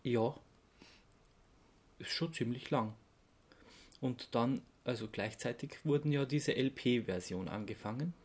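Male podcast host, Austrian accent, conversational explainer, halting delivery with an amused edge. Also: mid-range voice, darkish tone. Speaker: podcast host presenting research. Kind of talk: casual podcast chat, explaining research.

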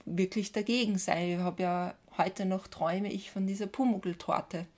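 A woman's voice: mid-range voice, fairly even intonation, normal speed, darkish tone. A young woman with an Austrian accent chatting casually and enthusiastically as a podcast co-host.

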